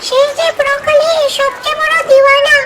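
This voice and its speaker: Very high-pitched young wife. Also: female voice, little pitch movement, moderate pace. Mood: cheerful. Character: sweet, devoted young wife in doll soap. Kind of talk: amateur doll-drama acting. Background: loud music.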